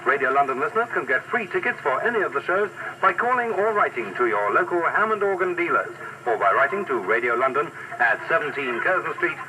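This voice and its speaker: A male advert announcer with a fairly high voice sells in punchy 1960s radio style. His intonation is very lively. The old tape sounds distant and clearly noisy. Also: brisk, few pauses. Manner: punchy, salesmanlike. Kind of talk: 1960s radio commercial, scripted promotional read.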